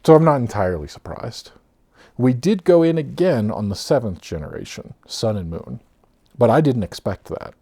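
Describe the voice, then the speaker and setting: A man in his thirties casually telling his own story for a vlog, rambling and laughing as he goes, often trailing off softly, sometimes a little off his own mic. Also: mid-range voice, lively intonation, moderate pace, gravelly, husky. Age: 30s. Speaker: vlogger. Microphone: own mic, off-axis at times.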